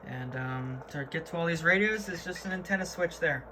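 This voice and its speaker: A man's voice: on the high side, a little fast, bright, forceful tone. A man giving an informal, matter-of-fact, slangy hobbyist tour of his gear in an unscripted way, recorded indoors. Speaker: hobbyist presenter. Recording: clearly noisy amateur video recording.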